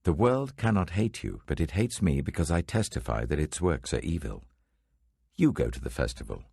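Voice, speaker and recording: A man voicing a grave, calm, resolute holy teacher in a dramatic scripture reading, quiet and deliberate. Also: extremely low pitch, vocal fry, slightly rough, no room sound, studio quality, full character voice.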